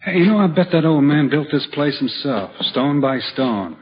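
An actor playing a restless, dreamy young writer type, earnest and reflective, in old-time radio drama style. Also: male voice, a little high, animated, normal speed, breathy edge. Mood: dreamy, eager. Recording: dull vintage radio recording with music.

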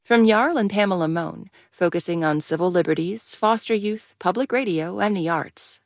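A woman announcer reading sponsor credits in a measured, even way. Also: on the low side, no echo, clean studio sound. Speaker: radio announcer.

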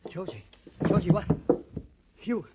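A man's voice: sits high, very animated, measured pace, heavy background noise. An actor in a 1940s-style radio drama playing a hot-headed, lovesick young male artist, impassioned and pleading. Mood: panicked. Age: young adult. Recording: muffled old radio recording.

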